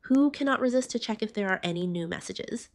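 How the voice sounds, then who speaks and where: A woman's voice: medium pitch, expressive, a little fast, noisy background. A woman vlogger narrating a roleplay morning-routine video, chatting directly to her viewers. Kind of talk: voiced roleplay vlog narration.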